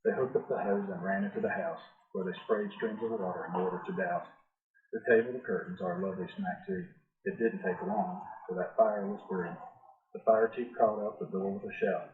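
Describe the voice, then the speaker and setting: A man reading a rhyming children's picture book aloud in a measured, sing-song storytelling style. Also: fairly low pitch, big pitch swings. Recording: roomy echo, thin phone-like sound.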